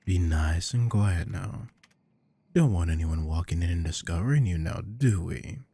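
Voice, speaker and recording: Man playing a deep, gravelly, possessive boss. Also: a little slow, mellow, no room sound, exaggerated voice. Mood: smug, coaxing. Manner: commanding, coaxing, low murmurs slipping into whispers. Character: possessive, dominant male boss, smooth and teasing. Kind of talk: intimate ASMR roleplay to the listener.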